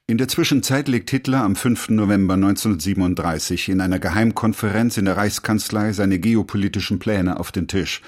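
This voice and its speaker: A male narrator delivers scripted history in a documentary. His voice sits deep, and the reading is formal narration. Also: fairly quick, few pauses, darkish tone.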